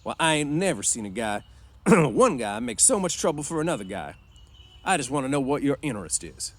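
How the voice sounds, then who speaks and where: A man acts out a domineering storybook ranch boss, gruff and suspicious. He interrogates in rough slang, with emphatic rises and falls in pitch. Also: very animated, fairly quick. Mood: suspicious, probing. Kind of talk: storybook read-aloud.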